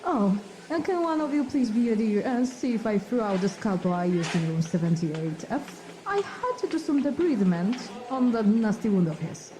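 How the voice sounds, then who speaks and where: An actress plays a breezy, affected female doctor in an audio drama. She sounds lively and a bit off-mic, and clear background noise sits behind her. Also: on the high side, normal speed, close to an everyday voice.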